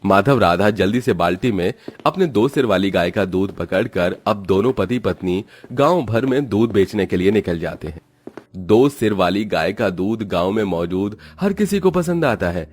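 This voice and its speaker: A man narrating a cartoon moral story in a steady, dramatic storytelling style. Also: on the low side.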